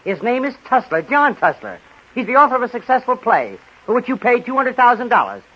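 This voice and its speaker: Husky, quick-talking studio aide. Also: male voice, very high-pitched, very animated, exaggerated voice. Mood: tactful. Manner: polite, precise, wordy. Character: deferential, canny studio aide, adult man, patient. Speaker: actor in radio comedy. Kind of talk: 1930s radio comedy acting. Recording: muffled 1930s radio recording.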